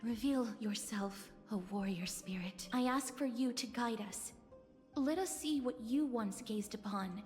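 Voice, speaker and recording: A young female spirit medium from a fantasy game, acted by a woman speaks slowly in a fairly high voice. She is solemn, gentle and reverent, with hushed formal phrasing over prominent music. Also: close to an everyday voice. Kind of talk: dramatic video game voice acting.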